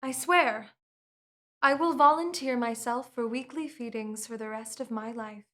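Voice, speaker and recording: A woman's voice: fairly high pitch, unhurried, sharp tone. A woman voicing an earnest young princess who bargains with a vampire, talking in a surprised, sincere way.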